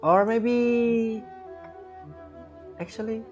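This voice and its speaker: A woman's voice: on the high side, very slow, lo-fi sound, over music. A woman livestreaming a game, casually muttering and thinking aloud as she plays. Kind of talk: casual live gameplay commentary.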